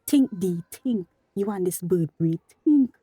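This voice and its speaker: Grieving Tobagonian daughter, radio drama, insistent, swooping intonation, close dry sound. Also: female voice, on the low side, animated, unhurried, dark tone, husky, no echo. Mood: shocked realisation. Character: worried, outspoken grown daughter in mourning. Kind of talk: radio drama acting in dialect.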